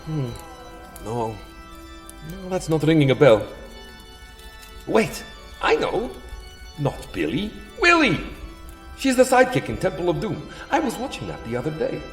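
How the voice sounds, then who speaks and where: Theatrical vampire count, wildly swooping delivery. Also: male voice, fairly high pitch, very animated, unhurried, pauses often, soft background music. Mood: puzzled, musing. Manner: theatrical musing that slips into petty grumbling. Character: world-weary male vampire count, master of a castle resort. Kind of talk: comedy audio drama acting.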